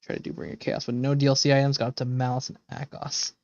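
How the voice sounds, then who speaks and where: A man's voice: mid-range voice, monotone, quick pace, creaky, dry recording, lo-fi sound. A man casually chatting with viewers as a game livestreamer, rambling and swearing freely. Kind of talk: casual chat with viewers while playing.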